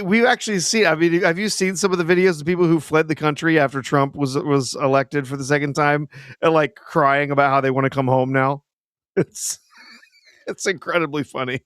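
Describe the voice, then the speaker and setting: A man's voice: fairly high pitch, normal speed, smooth, no echo, clean studio sound. A man co-hosting a casual live stream, explaining with lots of "uh" and chuckling as he talks. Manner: chuckling, filler-heavy explaining. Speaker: co-host.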